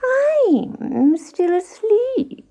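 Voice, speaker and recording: Storybook cat: squeaky-high, slow drawl, lazy, sleepy, always complaining. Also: female voice, very animated, dark tone, full character voice. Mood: whining. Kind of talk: children's storybook character voice.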